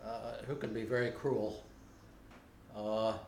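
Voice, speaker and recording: Older man, interviewee; plainspoken and unhurried, recorded on a roomy camera mic. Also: fairly low pitch, fairly even intonation, darkish tone, slight background noise. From United States. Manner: unhurried, plainspoken, frequent uh pauses. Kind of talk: unscripted recollection. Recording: roomy home video recording.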